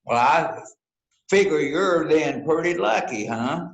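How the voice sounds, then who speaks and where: A man playing an unhurried, questioning investigator in an amateur readers theater mystery, in an echoey room. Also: fairly high pitch, animated, gravelly, exaggerated voice. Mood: deliberate, probing.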